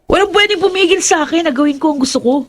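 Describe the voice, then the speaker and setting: A man's voice: extremely high pitch, rapid-fire, slight background noise. An actor, a man, playing a spiteful, defiant young tomboy who threatens insolently.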